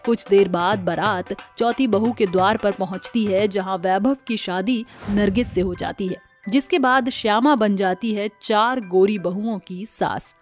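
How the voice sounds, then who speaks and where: A woman's voice: mid-range voice, unhurried, hardly any pauses, soft background music. A woman narrating a scripted cartoon story as the storyteller.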